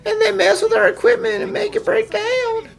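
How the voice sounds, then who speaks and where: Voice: very high-pitched, fairly even intonation, moderate pace, gravelly, full character voice. A performer playing an agitated farmhand with urgent, rustic speech in a fan-dubbed cartoon. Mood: distressed. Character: agitated farmhand reporting harassment. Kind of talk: fan-dub cartoon acting.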